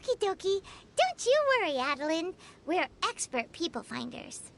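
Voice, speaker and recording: A woman's voice: extremely high pitch, very animated, moderate pace, vocal fry, exaggerated voice. A woman voicing a tiny, childlike fairy companion in a video game, cheerful, cheeky and blunt. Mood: chirpy, eager. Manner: speaks of herself in third person, blunt, chirpy.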